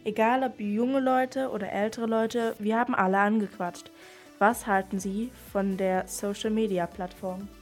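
A woman's voice: mid-range voice, fairly even intonation, a little slow, soft background music. A female youth radio presenter, asking questions in a scripted style.

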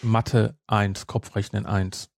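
A man's voice: fairly low pitch, level tone, takes it slowly, vocal fry, darkish tone, dry recording, clean studio sound. A middle-aged man co-hosting a podcast in casual, amused banter, often rephrasing as he goes. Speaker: podcast co-host. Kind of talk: casual banter about an audio drama series.

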